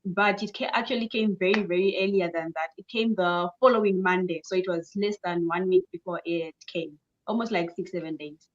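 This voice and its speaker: A young woman from Zimbabwe answering interview questions in a relaxed, cheerful way, often repeating words for emphasis. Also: fairly low pitch, fairly quick, highs cut off. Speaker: guest.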